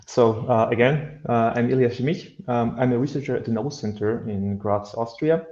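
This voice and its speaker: A man from Bosnia and Herzegovina with a Bosnian accent explains things in a halting way. His middling-pitched voice comes through a video call with noticeable room echo and a slightly dull sound. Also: fairly even intonation, darkish tone. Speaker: AI researcher, panel guest. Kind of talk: explaining on an online expert panel. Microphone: headset on a video call.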